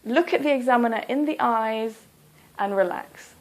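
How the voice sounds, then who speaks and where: A woman teaching exam English, speaking clearly and deliberately in a careful, instructive lesson. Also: fairly high pitch. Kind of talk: slow, careful lesson narration.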